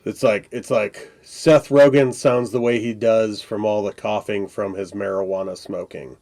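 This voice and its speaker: A man's voice: fairly low pitch, steady tone, normal speed, darkish tone. A man co-hosting a podcast while congested from a cold, rambling with hesitant, chuckling asides. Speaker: podcast co-host. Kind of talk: casual chat.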